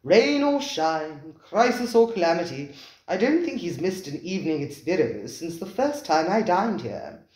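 Narrator voicing suave worldly gentleman, measured and knowing, animated pitch, echoey room. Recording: roomy echoing room.

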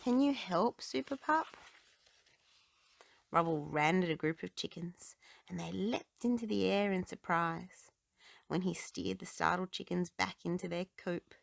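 A woman narrating a children's storybook aloud in an expressive way, paced for young listeners. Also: lowish voice, normal speed, creaky, no room sound.

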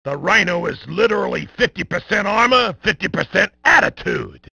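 Bombastic video game combat commentator, wisecracking, gleefully violent, bright forceful tone, gravelly fry. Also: male voice, high voice, lively intonation, moderate pace, no echo, full character voice. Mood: wry, gloating. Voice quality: gravelly, raspy. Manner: hammy, wisecracking, often bellowing. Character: bombastic, gleefully violent male arena combat sports announcer. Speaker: game announcer. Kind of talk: over-the-top sports commentary for a video game.

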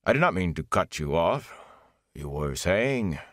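A man voicing a burly blacksmith who speaks slowly, quietly and with mock politeness. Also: sits low, sing-song, dry recording, studio quality. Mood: menacing. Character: burly, calm male blacksmith, quietly menacing.

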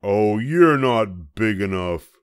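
A grouchy little storybook ladybug acted by an older man, pitched high and loud. The delivery is brash and challenging, swooping up and down as it picks fights. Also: lively intonation, slow pace, clear, resonant tone, dry recording, studio quality. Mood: dismissive. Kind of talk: children's storybook character voice.

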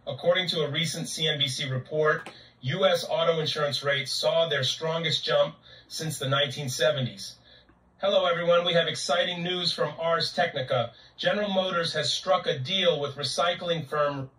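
A man's voice: a little high, fairly even intonation, normal speed, fairly bright tone. An AI-generated man's voice reading short auto news clips with stiff announcer greetings, heard played back over speakers. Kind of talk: scripted news-clip narration. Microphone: played through speakers, picked up by room mic. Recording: echoey playback heard from far off.

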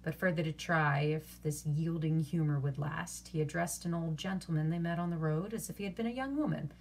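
A young woman reads a tale aloud as an expressive storyteller. Her voice sits a little low and has a husky edge, picked up by a computer mic in a small bedroom. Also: a little fast. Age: young adult. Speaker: actor and teaching artist reading a story.

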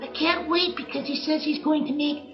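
A little cartoonish critter with an extremely high, squeaky pitch, performed by a woman. It talks in a naive, chatty, childlike way in a radio play, with music clearly behind it. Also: fairly even intonation, moderate pace, highs cut off, natural voice. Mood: chatty, diary-like. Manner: literal-minded, chatty, childlike. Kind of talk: old-time radio comedy-horror acting.

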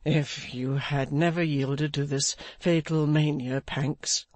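Audiobook character, acted by a narrator: gentle gentleman crushed by guilt, slow, weary, repeating himself in sorrow. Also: medium pitch, steady tone, no echo. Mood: sorrowful commiseration.

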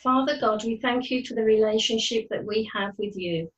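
A woman's voice: on the high side, normal speed, muffled recording. A middle-aged woman reading out a prayer at home, a little way from a webcam microphone. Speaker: church member leading prayer. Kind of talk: read-out prayer. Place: room at home. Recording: slightly distant webcam mic.

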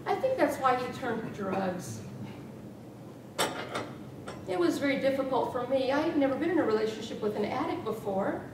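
A middle-aged woman giving a humorous tall-tale speech with theatrical, deliberate delivery and dramatic emphasis. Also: expressive. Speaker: contest speaker. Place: small meeting room with rows of chairs. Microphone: far camera. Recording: echoey room from far off, noisy rumble.

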